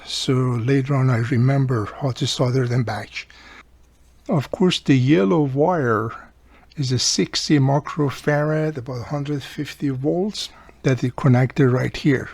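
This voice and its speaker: A man casually hosting a hands-on repair tutorial, explaining step by step and repeating key points, with a gravelly, husky voice. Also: medium pitch, a little mellow, dry recording. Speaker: radio repair video host.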